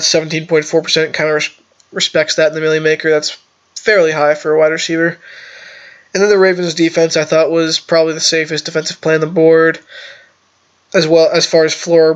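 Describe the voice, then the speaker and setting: A man's voice: fairly high pitch, smooth. A young man who is a little sick, talking through a casual fantasy football lineup review with analytical, rambling explanations. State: sick, slightly off voice. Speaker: fantasy football content creator. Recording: slightly dull lo-fi audio.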